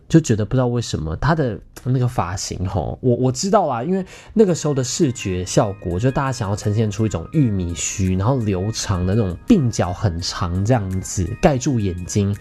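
A man's voice: fairly low pitch, mellow, slightly rough. A young man with a Taiwanese Mandarin accent tells personal stories in a chatty, playful, self-teasing way, like a podcast host. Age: young adult.

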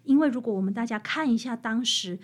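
High-pitched Taiwanese Mandarin woman, expressive storyteller. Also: fast talker. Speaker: author interviewed as guest. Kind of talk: expressive explanatory interview talk.

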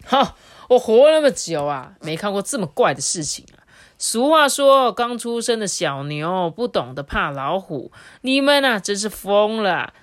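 A woman voicing a big male tiger who blusters loudly and then grows puzzled. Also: medium pitch, very animated, measured pace, no echo, clean studio sound. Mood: blustering. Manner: loud, blustering.

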